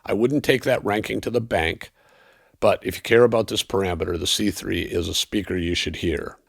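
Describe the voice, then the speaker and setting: A man giving a scripted product review in a measured, analytical and precise manner. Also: low-pitched, steady tone, gravelly, husky, no room sound, studio quality. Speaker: audio equipment reviewer.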